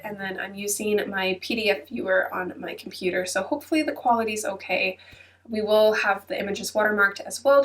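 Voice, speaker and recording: A congested woman with a stuffy nose talks casually as host of her channel, filling her chat with ums and hedges. Her voice sits at an ordinary middle pitch. She sounds set a little back from the microphone. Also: fairly bright tone, far from the mic. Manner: chatty, many ums and hedges. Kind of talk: casual chatty flip-through voice-over. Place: at her computer.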